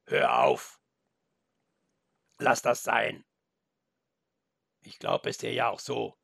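A man voicing a menacing, greedy male wolf in a storybook reading, growling slowly and deep. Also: very animated, gravelly, fairly bright tone, husky, no echo, studio quality, heavily acted. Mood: alarmed. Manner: growling, slow and threatening.

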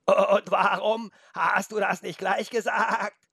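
A grumpy storybook nanny goat, acted out by a man, bleating in a very high voice. She drags her words out slowly and complains defiantly. She is muddled and will not budge. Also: little pitch movement, creaky, fairly bright tone, slightly rough, no room sound, studio quality, exaggerated voice. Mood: grumbling. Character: stubborn, muddled, grumpy female talking goat. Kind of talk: children's storybook character voice.

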